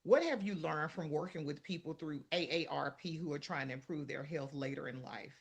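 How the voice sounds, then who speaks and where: A man's voice: fairly high pitch, brisk, vocal fry, husky. A man hosting a podcast, asking questions in an encouraging, affirming way. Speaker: podcast host. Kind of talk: interview questions.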